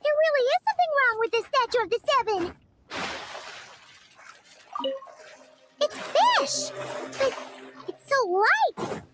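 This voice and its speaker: Tiny floating girl companion for a game: very high, piercingly bright, childlike and excitable. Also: moderate pace, slight background noise, full character voice. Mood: surprised. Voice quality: squeaky, childlike. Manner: chirpy, refers to self in third person. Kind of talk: video game character acting.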